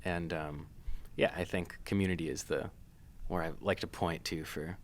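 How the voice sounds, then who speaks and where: A man from Massachusetts, a filmmaker guest, talking casually with many pauses, fillers and restarts. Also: low-pitched, steady tone. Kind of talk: casual interview.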